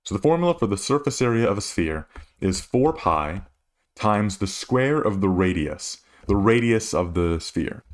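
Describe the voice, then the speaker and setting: A man's voice: lowish voice, lively intonation, moderate pace. A man working as a physics tutor, explaining a worked problem methodically, step by step. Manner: methodical, instructional.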